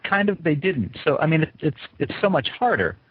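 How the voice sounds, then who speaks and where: A man's voice: a little high, sing-song, brisk, breathy edge, dry recording. An American man hosting an interview, asking questions in an informal, rambling way as he thinks aloud. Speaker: interviewer, show host. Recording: thin, dry phone-like sound.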